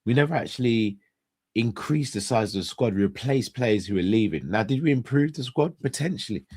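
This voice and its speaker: A young man hosting a casual live-stream chat, hoarse and congested, talking straight to his viewers and often restarting his phrases. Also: medium pitch, expressive, brisk, darkish tone. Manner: chatty, addresses viewers directly, restarts phrases. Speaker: host.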